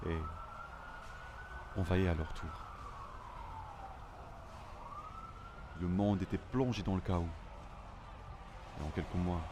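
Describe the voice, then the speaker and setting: Male actor as lonely apocalypse survivor, low pitch, mournful dramatic narration, audio drama, noticeable ambient noise. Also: close to an everyday voice. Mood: grave, ominous. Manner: somber, melancholic, dramatic delivery.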